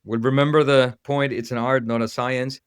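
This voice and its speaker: A man's voice: mid-range voice, level tone, normal speed, clear, resonant tone, no echo, studio quality. A man from Ecuador hosting a casual interview podcast, restarting phrases as he talks. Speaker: podcast host.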